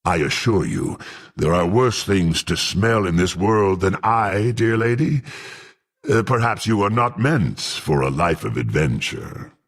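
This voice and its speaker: An actor playing a proud, menacing half-orc warrior with a gruff voice, speaking in haughty, archaic phrases for a fantasy game. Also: male voice, low voice, lively intonation, a little slow. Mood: dry, threatening. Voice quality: gruff, gravelly. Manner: haughty, threatening, formal archaic speech. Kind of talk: dramatic fantasy game voice acting.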